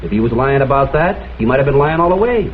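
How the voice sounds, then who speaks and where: An actor playing a shrewd, persistent crime photographer in a vintage detective drama, questioning politely but insistently. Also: male voice, medium pitch, animated, fast, a little hiss or hum, close to an everyday voice. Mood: reasoning. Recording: muffled phone-like old soundtrack, music behind.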